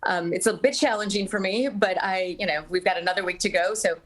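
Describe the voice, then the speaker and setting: A woman's voice: medium pitch, fairly quick, a bit bright. A woman answering as an interview guest in a casual, conversational style. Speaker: interview guest, radio broadcaster and actor.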